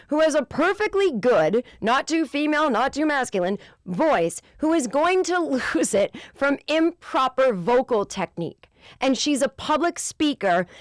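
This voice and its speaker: A woman in her forties hosting a podcast, with a low voice, ranting in an exasperated, profane way in a casual comedic monologue. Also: a bit bright, dry recording, studio quality. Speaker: podcast host.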